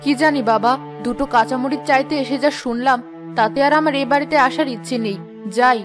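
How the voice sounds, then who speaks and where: A woman's voice: sits high, a little fast, close to an everyday voice. A woman playing an older village neighbour auntie in a cartoon drama, reproachful and easily offended. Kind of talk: Bengali cartoon drama acting. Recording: music clearly behind the voice.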